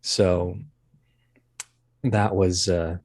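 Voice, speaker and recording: A man's voice: deep voice, little pitch movement, very slow, darkish tone, no echo. A man chatting casually as an interview guest, telling anecdotes in a rambling, wry, self-deprecating way. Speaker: interview guest, voice actor and performer.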